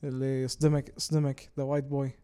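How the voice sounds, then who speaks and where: A man's voice: mid-range voice, level tone, very fast, mellow, clear, resonant tone. A young man co-hosting a casual sports chat in a laid-back way, dropping Arabic fillers into his English. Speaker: podcast co-host.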